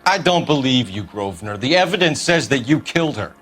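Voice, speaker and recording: A man's voice: medium pitch, sing-song, fairly quick, slightly rough, soft background music. An American man voicing a stern, accusing young police detective in a crime drama video game, clipped in his questioning. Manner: clipped, forceful interrogator.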